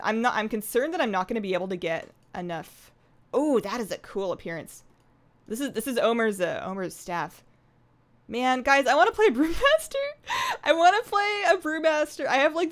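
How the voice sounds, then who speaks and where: A woman in her twenties chatting casually on a live game stream, rambling along in a bubbly, animated and giggly way. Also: medium pitch. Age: 20s. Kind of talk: casual live stream chat while gaming.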